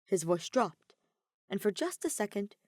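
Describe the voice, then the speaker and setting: A woman narrates a story in a dramatic audiobook style. Her mid-pitched voice moves expressively up and down, recorded dry and close. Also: very animated, unhurried, no echo. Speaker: fan fiction narrator.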